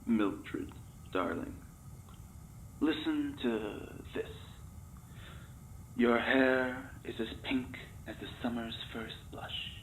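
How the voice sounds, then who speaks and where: A man's voice: mid-range voice, drawn-out words, long pauses, slight background noise, poor audio. An actor playing a cheeky, flirtatious male test subject in a comedic audio drama, teasing and melodramatic in his delivery. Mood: melodramatic wooing.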